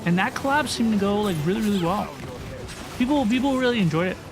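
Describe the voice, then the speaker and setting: A woman's voice: mid-range voice, expressive, fast. A woman hosting a gaming livestream, chatting casually and often repeating phrases for emphasis. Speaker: livestream host. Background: game music.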